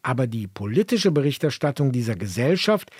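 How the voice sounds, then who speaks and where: A man co-hosting a history program, explaining in a measured way. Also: mid-range voice, sing-song, a little mellow, slightly rough, no room sound, clean studio sound. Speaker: co-host of a history podcast. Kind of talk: explanatory radio history narration.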